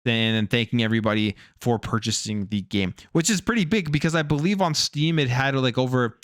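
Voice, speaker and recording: A man's voice: mid-range voice, a bit bright, no echo, clean studio sound. A man presenting video game news as a host, in a casual commentary style. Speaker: gaming news YouTube host.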